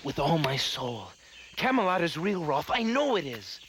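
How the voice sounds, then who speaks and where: An actor playing an earnest, idealistic young prince in an animated adventure, speaking sincerely and formally with fervor. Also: male voice, fairly high pitch, moderate pace, noisy background. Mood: fervent. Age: young adult. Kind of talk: animated adventure drama acting.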